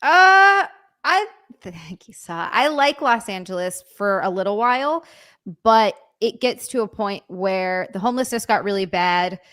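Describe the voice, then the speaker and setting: A woman's voice: mid-range voice, lively intonation, measured pace, fairly bright tone. A woman from Hawaii in casual podcast chat, talking bluntly and swearing freely. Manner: blunt and profane.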